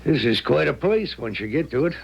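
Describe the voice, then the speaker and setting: Gravelly, scheming American crook, noisy recording. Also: male voice, on the high side, very animated, fairly quick, dull audio. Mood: impatient. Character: cold, scheming male crook, impatient and sly. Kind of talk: 1970s radio drama acting. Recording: noisy, clicking old radio broadcast.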